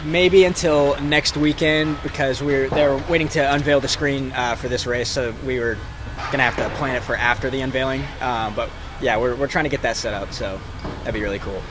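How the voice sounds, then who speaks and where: A young man from Texas with a light Texas accent, a college distance runner answering media questions in a relaxed way, with many fillers. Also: mid-range voice, fairly quick, background noise. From Texas, Dallas suburbs. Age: young adult. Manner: relaxed, lots of ums and likes. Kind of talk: media interview.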